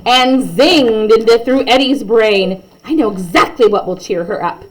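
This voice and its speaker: A woman's voice: fairly high pitch, a little slow, rough recording. A woman reading a children's bedtime story aloud expressively, with chatty asides to young listeners.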